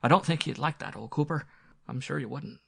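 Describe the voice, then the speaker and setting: A man playing a loud blustering country squire. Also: on the high side, brisk, gravelly, husky, pitched above the performer's own voice, heavily acted. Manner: blustering, loud, then frightened and pleading. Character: hot-tempered blustering country squire, middle-aged man.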